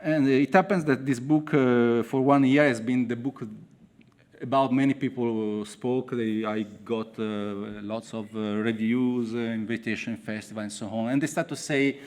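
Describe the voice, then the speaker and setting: Italian guy, writer on a panel, mid pitched voice, broken english, searching for words. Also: steady tone, unhurried, a little mellow. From Italy. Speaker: author on a panel. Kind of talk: personal account to a festival audience.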